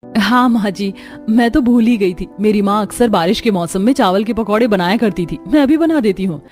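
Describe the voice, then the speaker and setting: A woman's voice: mid-range voice, fairly quick, darkish tone, soft background music, lightly acted. A woman voicing a dutiful, harried young daughter-in-law in a cartoon story. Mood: obliging. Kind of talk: Hindi cartoon story dialogue.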